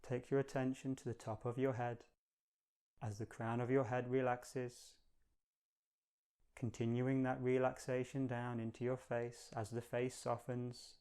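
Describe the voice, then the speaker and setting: A man leading a guided meditation in a calm, gentle, measured manner, giving slow, soothing instructions. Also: mid-range voice, smooth.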